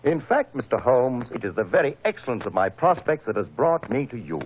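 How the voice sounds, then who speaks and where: An actor playing a proud, wealthy motor car inventor in an old radio drama, emphatic and earnest, with a husky voice. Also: male voice, medium pitch, big pitch swings, a little fast, slight background noise, lightly acted, low-quality recording. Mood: proud, enthusiastic.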